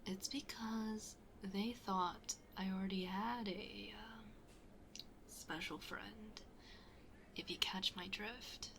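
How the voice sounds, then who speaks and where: A woman's voice: mid-range voice, fairly even intonation, takes it slowly, gravelly, background noise. A woman playing a shy, flustered best friend hiding a crush in an intimate ASMR roleplay, hesitant and often dropping to a near-whisper. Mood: embarrassed, confessing.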